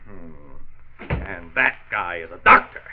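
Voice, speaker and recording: An actor playing a blustering, skeptical American factory boss in a 1940s radio drama, with an emphatic, grumbling, sarcastic delivery. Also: male voice, sits low, expressive, slow pace, very noisy, full character voice. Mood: scornful grumbling. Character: blustering, skeptical male factory boss under deadline pressure. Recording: tinny, narrow-band 1940s radio recording.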